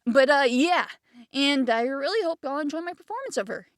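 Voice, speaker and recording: Chatty young woman with a creaky voice. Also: high-pitched, expressive, moderate pace, no echo, clean studio sound. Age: 20s. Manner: chatty, rambling, self-deprecating. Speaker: amateur voice actor. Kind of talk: casual self-introduction.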